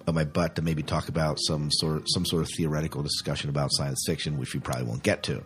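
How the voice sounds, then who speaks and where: Low-voiced man, rambling podcast host. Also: little pitch movement, fast. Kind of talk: casual podcast chat.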